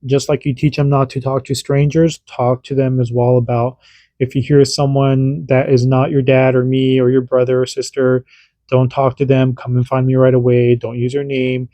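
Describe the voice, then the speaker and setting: A man's voice: mid-range voice, level tone, normal speed, warm tone, no room sound. A man and parent, hosting a casual hands-on radio demo and explaining in an informal, rambling way that often restarts sentences. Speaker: radio hobbyist host.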